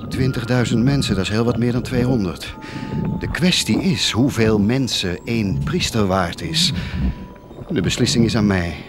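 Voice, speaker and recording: An actor playing a tormented, guilt-ridden priest of the poor in a theatrical radio drama, repeating words and swinging between muttering and outcries. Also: male voice, mid-range voice, close to an everyday voice. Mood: bitter irony. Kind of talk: theatrical radio drama acting, anguished monologue.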